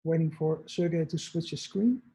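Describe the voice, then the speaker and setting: A man with a high voice and a mellow, soft tone. He talks informally and a little hesitantly over a video call. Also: moderate pace, husky. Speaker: core team member. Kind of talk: casual livestream talk.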